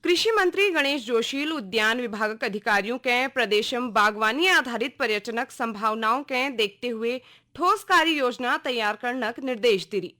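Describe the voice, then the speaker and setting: A woman with a Kumaoni accent reading a scripted regional radio news bulletin in a formal, measured announcer's delivery. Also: fairly high pitch, lively intonation, sharp tone.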